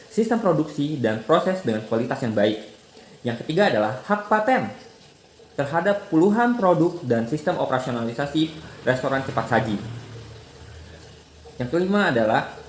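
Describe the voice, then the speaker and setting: A man's voice: on the high side, slight background noise, rough recording. A man giving an academic presentation. He explains in a lecture tone, answers his own rhetorical questions and lists points in order. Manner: asks himself rhetorical questions and answers them; lists points one after another.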